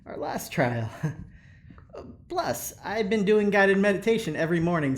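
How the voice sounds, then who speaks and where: An actor playing an eager, earnest male prison engineer in an audio drama, rambling hesitantly with nervous laughter. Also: fairly high pitch. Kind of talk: audio drama acting, naturalistic.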